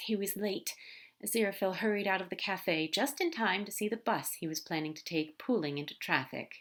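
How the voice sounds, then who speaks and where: A woman reading a fan fiction story aloud, narrating with expressive emphasis and soft whispered asides. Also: mid-range voice. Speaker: podfic reader.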